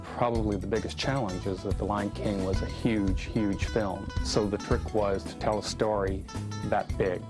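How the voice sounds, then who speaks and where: Guy director in a making-of interview, lowish voice, pretty flat, music behind. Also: normal speed. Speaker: film director. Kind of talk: documentary interview, reflective explanation.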